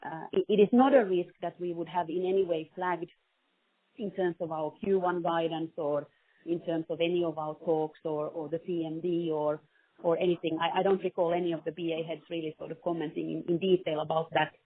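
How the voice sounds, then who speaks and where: Over a thin, dry telephone connection, a woman executive answers questions on a business call. Her voice sits a little low. She speaks in a careful, measured way, choosing her words as she goes. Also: steady tone, dry recording. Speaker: company CFO answering analysts on a conference call. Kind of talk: spontaneous business Q&A on an earnings call. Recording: narrowband phone conference line.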